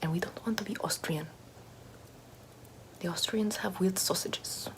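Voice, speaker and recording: A woman's voice: on the low side, a little slow. A woman whispering as a vain, pompous aristocratic lady doing makeup in an ASMR roleplay, in a haughty, self-admiring, gossipy way.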